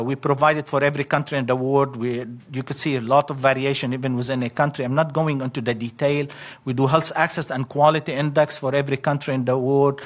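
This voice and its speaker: A man's voice: medium pitch, a bit bright. Man presenting data. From Lebanon. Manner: halting, clipped phrases with frequent "uh" fillers. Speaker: conference speaker. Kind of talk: academic slide presentation.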